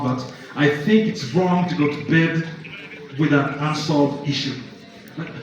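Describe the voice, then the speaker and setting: Echoey, distant stage actor as a pleading, frustrated boyfriend. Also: male voice, fairly high pitch, natural voice. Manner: insistent, pleading, repeats himself. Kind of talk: live stage play acting. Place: live theatre stage, large indoor room. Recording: echoey hall, distant mic, dull, audience noise.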